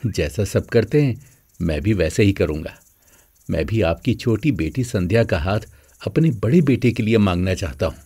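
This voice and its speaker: A man voicing an earnest middle-aged father in an animated moral story. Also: on the low side, moderate pace, husky. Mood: measured, firm.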